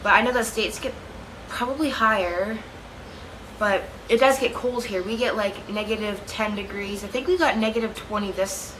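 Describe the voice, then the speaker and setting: A woman's voice: mid-range voice, moderate pace, poor audio. A young woman with a Canadian accent chatting casually to her camera at home, rambling, correcting herself and giggling. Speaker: vlogger. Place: room at home with a fan running. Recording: steady fan noise behind.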